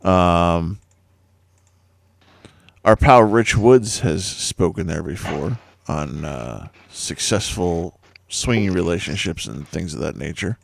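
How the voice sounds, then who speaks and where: A man's voice: sits low, lively intonation, takes it slowly, creaky, no room sound. A man hosting a podcast, chatting casually in a laid-back, dry way. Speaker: podcast host. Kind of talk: casual chat.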